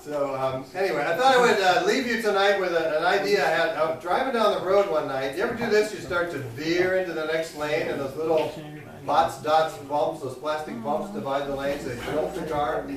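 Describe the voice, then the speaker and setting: A man performing an open-mic stand-up set at a small cafe, delivering deadpan, self-mocking one-liners to an audience that laughs. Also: fairly high pitch, brisk. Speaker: stand-up comedian. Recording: echoey room recorded from a distance.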